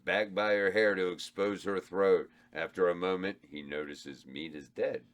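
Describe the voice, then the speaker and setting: A man reading stage directions at a table read, flat and matter-of-fact. His voice is quite deep. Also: normal speed. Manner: flat stage directions, occasional self-corrections.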